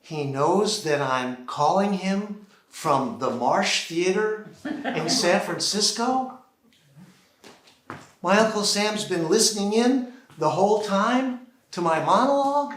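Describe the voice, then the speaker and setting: An American man performing a theatrical solo monologue, deliberately acting out one side of a phone call on a theater stage. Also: high voice, animated, fairly bright tone. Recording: roomy, echoing live theater sound.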